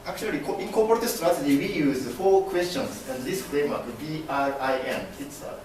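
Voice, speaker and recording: A man's voice: on the high side, animated, distant mic, noisy background. Young Japanese-accented man, echoey classroom. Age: young adult. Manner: halting, many fillers and restarts, gestures broadly. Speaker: MBA student presenter. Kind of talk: class presentation. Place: classroom with projector screen. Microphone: far camera.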